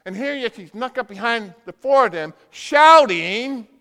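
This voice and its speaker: A man's voice: extremely high pitch, lively intonation, unhurried, bright, forceful tone. A man preaching a sermon as a dramatic storyteller, in short punchy phrases and acted-out dialogue, in a large reverberant room. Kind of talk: sermon with animated personal storytelling. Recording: reverberant hall.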